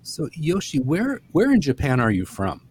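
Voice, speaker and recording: A man interviewing a guest, asking thoughtful questions in a measured way. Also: fairly high pitch, huge pitch swings, darkish tone, a little hiss or hum.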